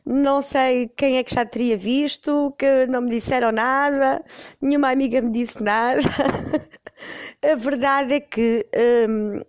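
Woman with a cold, smooth dry voice. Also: high voice, fairly even intonation, slow pace, dry recording. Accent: European Portuguese. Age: middle-aged. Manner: rambling, self-correcting, amused. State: congested with a cold. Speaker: podcast host. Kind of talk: casual personal storytelling.

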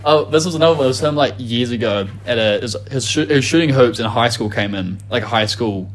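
A man's voice: medium pitch, quick pace. A young man from New Zealand with a New Zealand accent, chatting casually as a podcast co-host, rambling, swearing freely and laughing often. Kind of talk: casual chat.

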